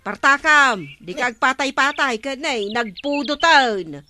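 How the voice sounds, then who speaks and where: A woman's voice: high voice, animated, a little slow, pushed and bright, soft background music, heavily acted. A woman acting a lazy, bossy village councilwoman with an Ilocano accent in a radio comedy drama. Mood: bossy, impatient.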